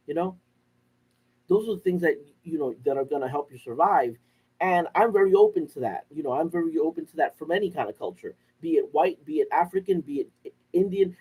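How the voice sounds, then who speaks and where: Brief: higher-voiced man from Puerto Rico, casual vlogger, loose and rambling delivery. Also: breathy edge, poor audio. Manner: rambling, many fillers and restarts. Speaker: off-grid living vlogger. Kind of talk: casual talk to camera.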